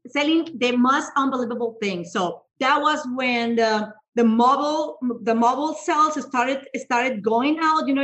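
A woman's voice: fairly high pitch, a bit bright, poor audio. A woman in her thirties from Mexico, speaking English as a second language with a Mexican Spanish accent, candidly telling her life story as an interview guest with frequent restarts.

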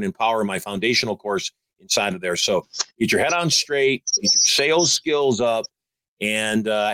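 A man's voice: on the low side, fairly bright tone, no room sound, low-quality recording. A man in his sixties giving upbeat, emphatic advice as a show host. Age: 60s. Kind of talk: motivational call-in advice.

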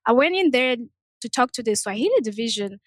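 Casting: young woman, higher pitch, dry close sound, casual storyteller. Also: expressive, brisk, no echo. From Democratic Republic of the Congo. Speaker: panel discussion participant.

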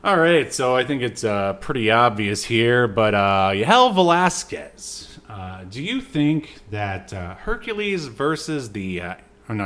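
A man hosting a podcast, casually discussing a film in a halting, agreeable, conversational way. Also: mid-range voice, a bit bright. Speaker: podcast host reviewing films.

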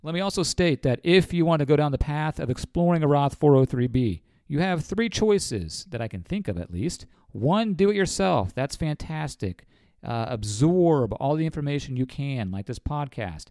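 A man's voice: mid-range voice, a little mellow, no room sound, studio quality. A man in his forties with a cold, a financial advisor explaining retirement savings on a podcast in a folksy way, often calling listeners folks. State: has a cold.

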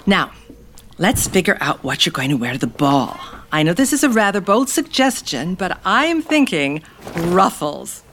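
A woman's voice: fairly low pitch, big pitch swings, moderate pace, noisy background. A woman playing an imperious, sugary, scheming queen and doting mother in an audio fairytale, gushing and condescending. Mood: brisk, imperious. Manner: gushing, condescending, theatrical endearments. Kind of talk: scripted audio fairytale comedy acting.

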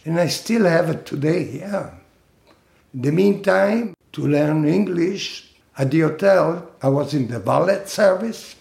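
An older man from Italy, slowly recounting his life in a halting oral-history interview. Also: on the high side, gravelly, darkish tone, echoey room. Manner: slow, halting storytelling with fillers and laughs.

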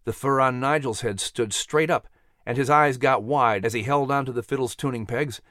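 A man narrating a children's story from a script in an expressive storytelling style. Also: mid-range voice, normal speed, dry recording, studio quality. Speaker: storyteller and host.

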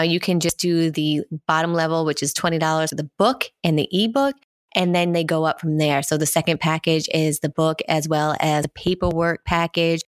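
Woman podcast host, low-pitched, dry sound, upbeat, playful chat. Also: no room sound. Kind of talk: casual interview and self-promotion.

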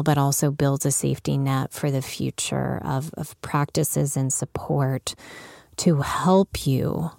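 A woman's voice: low voice, creaky, darkish tone, no echo, studio quality. A woman hosting a podcast, talking reflectively and earnestly, stressing points by repeating them. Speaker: podcast host. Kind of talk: reflective podcast intro and interview.

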